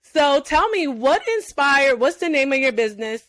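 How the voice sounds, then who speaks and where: A woman's voice: sits high, sing-song, moderate pace, a bit bright, no room sound, clean studio sound. A woman hosting a podcast, asking questions in an upbeat, chatty way and laughing easily. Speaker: podcast host. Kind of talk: casual interview chat.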